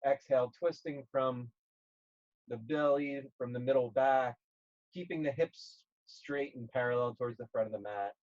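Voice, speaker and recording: A man leading a guided yoga class, speaking gently and calmly in short, measured phrases with pauses. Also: mid-range voice, dull audio. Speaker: yoga instructor.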